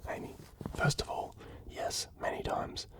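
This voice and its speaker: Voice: a little slow, natural voice. A reader whispering the lines of an eager, competitive young female detective.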